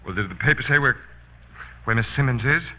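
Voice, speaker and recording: An actor playing a suave, courteous, secretly sinister romancer in a 1940s radio drama. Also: male voice, mid-range voice, animated, a little fast, pushed and bright. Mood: guarded. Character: smooth, romancing, secretly sinister male lady-killer. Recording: noisy, dull old radio recording.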